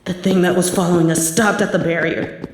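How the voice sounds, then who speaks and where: A young adult woman performing a scripted horror narration, telling the story in a hushed, tense manner. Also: fairly low pitch, fairly even intonation, a little fast, slight background noise. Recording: very echoey room.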